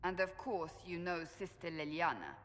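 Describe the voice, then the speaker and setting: An actress playing a stern, resolute female holy warrior in a fantasy video game, speaking gravely and deliberately. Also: on the low side, animated, big-room sound, close to an everyday voice. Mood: firm, stern. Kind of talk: video game dramatic dialogue.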